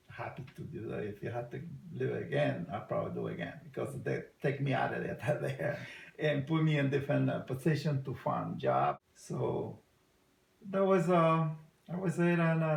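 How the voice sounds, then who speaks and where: Salvadoran guy in his 60s, gravelly voice, hesitant english with lots of uhs, echoey room. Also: mid-range voice, darkish tone. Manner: halting, many uh fillers, non-native English. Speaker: interviewee. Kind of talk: casual family interview.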